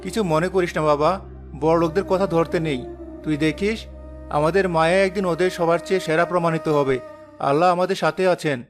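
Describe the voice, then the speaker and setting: A man playing a poor, devout village father in a cartoon, speaking gently and respectfully. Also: a little high, steady tone, brisk, close to an everyday voice. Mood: reassuring. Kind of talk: cartoon dialogue. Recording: clear music bed behind the voice.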